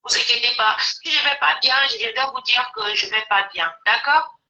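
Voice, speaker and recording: A woman's voice: fairly high pitch, normal speed, highs cut off, a crowd in the background. A woman with the flu chatting casually and emotionally as a guest on a live social media call. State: has the flu. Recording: roomy echo, distant from the mic.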